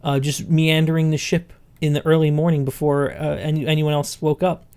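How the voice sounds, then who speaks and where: A man co-hosting a podcast in casual chat, musing and halting as he repeats words. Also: a little high, level tone, a little mellow, clean tone. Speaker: podcast co-host.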